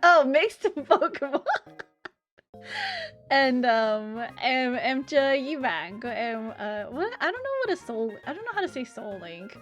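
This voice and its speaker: High-pitched giggly woman streamer. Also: sing-song, a little slow, fairly bright tone, faint music behind. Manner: giggly, apologetic, switches to Vietnamese for chat. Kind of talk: casual chat while streaming a game.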